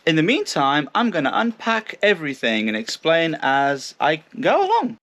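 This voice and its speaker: A man's voice: on the high side, huge pitch swings, normal speed, fairly bright tone. A man chatting casually outdoors as he vlogs, explaining his work as he goes and poking fun at himself. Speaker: vlogger. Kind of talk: casual vlog narration. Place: outdoors on a rural plot.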